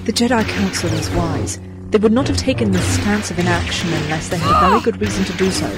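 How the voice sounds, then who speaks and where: Woman as an earnest young Jedi, loud music behind. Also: mid-range voice, sing-song, natural voice. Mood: earnest, cautioning. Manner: measured, formal. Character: earnest, dutiful young Jedi, loyal to the order. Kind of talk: video game dialogue.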